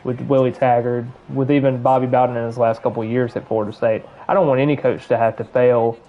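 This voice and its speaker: A man's voice: medium pitch, level tone, fast, muffled recording. Rambling Southern-accented man streaming a game. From Alabama. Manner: rambling, filler-heavy, chatting while playing. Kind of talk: casual gameplay commentary.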